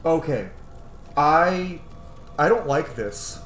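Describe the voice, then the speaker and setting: A man's voice: a little high, lively intonation, takes it slowly, a bit bright, slight background noise. A man in his thirties giving casual reaction commentary as he plays a video game. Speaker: gaming video host. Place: small room at home. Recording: roomy, faint game music behind.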